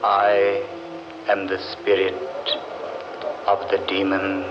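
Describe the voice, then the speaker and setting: An actor playing the sinister spirit of a demon tree in 1940s radio horror, ominous and drawn-out. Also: male voice, low voice, monotone, very noisy. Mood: menacing. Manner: slow, drawn-out, ominous delivery. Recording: muffled old radio recording, distant, loud music.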